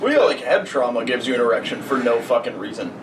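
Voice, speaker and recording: A man's voice: mid-range voice, sing-song, fast talker. A young man co-hosting a podcast, riffing with friends in a goofy, profane, casual way. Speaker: podcast co-host. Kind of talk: casual chat among friends. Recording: roomy, distant mic, faint noise.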